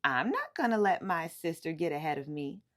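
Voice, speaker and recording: A woman's voice: deep voice, sing-song, normal speed. A woman voicing an eager, stubborn and determined little boy in a children's storybook, with earnest, emphatic delivery.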